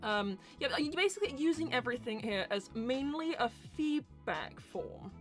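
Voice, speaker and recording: A woman's voice: fairly high pitch, animated, normal speed, soft background music. A woman hosting a live roleplay stream, chatting casually and candidly as she answers questions in rambling explanations. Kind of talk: casual livestream Q&A chat.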